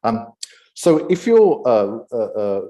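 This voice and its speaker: A man's voice: medium pitch, big pitch swings, slow, warm tone, poor audio. A man with a British accent giving an unscripted presentation as event host, often hesitating and repeating words before going on. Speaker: event host presenting his AI ethics program.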